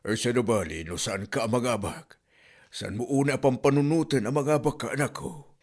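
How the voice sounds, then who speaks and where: A man's voice: medium pitch, normal speed, natural voice. A man acting an easygoing, sleepy father in a comedy drama, rambling and fatherly. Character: drowsy, easygoing old-fashioned father.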